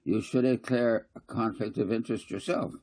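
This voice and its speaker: A man's voice: lowish voice, normal speed, darkish tone, voice pushed up, close to an everyday voice. A man voicing a combative, mocking councillor in a storybook, needling and accusing.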